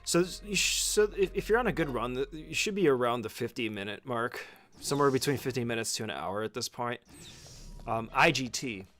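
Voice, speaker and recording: A man's voice: medium pitch, expressive, a little fast, a bit bright, soft background music. A man giving an informal, step-by-step speedrun tutorial as casual gameplay commentary, swearing freely.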